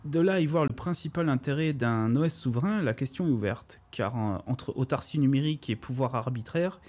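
A man's voice: mid-range voice, lively intonation, brisk, a little mellow. A man reading a prepared tech chronicle on a podcast, with wry, ironic asides, then chatting casually.

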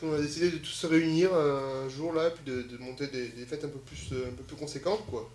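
A man's voice: a little high, fairly even intonation, a little fast, a little mellow, slight background noise. A man from Occitanie, a party organizer and DJ, talking casually and hesitantly as a radio guest. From Occitanie, France. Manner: hesitant, lots of fillers, easygoing. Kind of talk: casual interview talk.

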